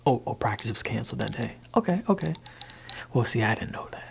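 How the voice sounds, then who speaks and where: A man voicing a gentle, laid-back father in an ASMR roleplay, talking softly and whispering to his teenage child in a teasing way. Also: animated, fast talker, creaky, music in the background. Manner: soft-spoken, shifting between low voice and whisper. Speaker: ASMR creator.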